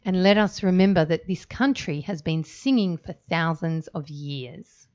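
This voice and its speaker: A woman's voice: fairly low pitch, measured pace, a little mellow, highs cut off. A woman hosting a radio chat show who encourages her guest and sums up what he says. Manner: encouraging, recaps the guest's points. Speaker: radio host. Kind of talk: interview hosting.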